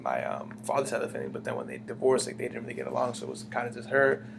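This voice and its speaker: A young man speaks in quick bursts and trips over his own words, restarting his sentences. His voice has a gravelly edge. A steady hum runs under the recording. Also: mid-range voice. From United States. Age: young adult. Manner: rambling, many fillers and restarts. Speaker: interviewee. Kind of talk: casual conversational interview. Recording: humming background noise.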